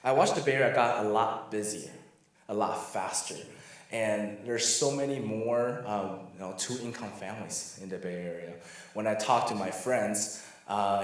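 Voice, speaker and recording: A middle-aged man from Taiwan speaks informally as a workshop presenter. His voice sits fairly low. The sound is heavily reverberant, as in a big church room. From Taiwan, moved to the US as a child. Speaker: guest speaker at a parent workshop.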